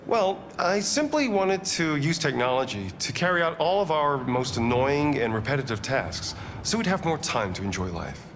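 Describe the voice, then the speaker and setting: A man playing a self-assured tech founder in his thirties in a game, measured and explanatory, recorded with ambient noise. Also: a little high, sing-song, a bit bright. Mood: confident, explanatory. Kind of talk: scripted game interview. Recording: clear ambient noise behind voice.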